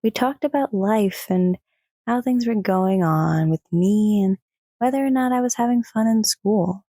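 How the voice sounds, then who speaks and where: A woman's voice: medium pitch, a little slow, dark tone, no echo, clean studio sound. A woman playing a thoughtful young daughter in an audio drama, speaking hesitantly and wistfully. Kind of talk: audio drama acting and narration.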